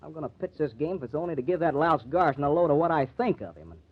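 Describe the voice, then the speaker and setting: A man playing a hot-headed, honest star pitcher in an old-time radio crime drama, blunt and slangy. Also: expressive, fast talker, breathy edge. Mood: restless. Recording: dull, band-limited old radio recording.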